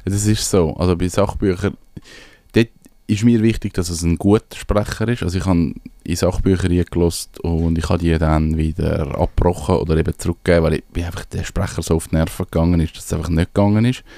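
A man's voice: low-pitched, fairly even intonation, quick pace, vocal fry, warm tone, no room sound. A man with a Swiss German accent co-hosting a podcast in a casual, conversational way. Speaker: podcast co-host.

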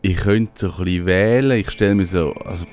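Deep-voiced Swiss German man, casual and rambling. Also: fairly quick, darkish tone, soft background music. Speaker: radio show co-host and small business owner. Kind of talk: casual radio chat.

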